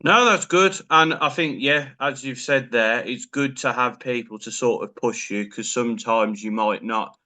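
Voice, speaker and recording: A man's voice: medium pitch, normal speed, few pauses, sharp tone. A man hosting a casual interview, asking questions in an encouraging, affirming way. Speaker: interviewer host.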